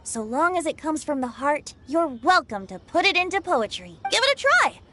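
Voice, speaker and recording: Breezy young male bard for a game: high, lively, lilting, teasing. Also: moderate pace, exaggerated voice. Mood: breezy, encouraging. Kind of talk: animated video game voice acting.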